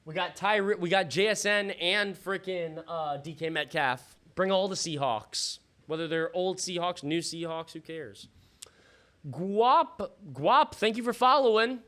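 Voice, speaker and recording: A man hosting a live fantasy football stream in a casual, slangy, sweary way, thinking out loud, his voice starting to give out. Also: high voice, unhurried. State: losing his voice. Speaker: livestream host. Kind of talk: casual live fantasy football draft commentary with chat shout-outs.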